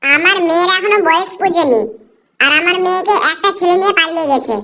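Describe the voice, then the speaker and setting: A woman's voice: very high voice, fast, sharp tone. A woman playing a distressed, determined mother in an amateur cartoon, with music behind. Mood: pleading. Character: distressed mother reporting her runaway underage daughter. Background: background music.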